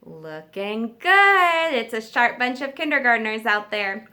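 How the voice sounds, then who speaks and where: A woman teacher reading a story aloud to young children, expressive and pausing to explain and ask them questions. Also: on the high side. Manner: expressive, asks listeners questions. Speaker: kindergarten teacher.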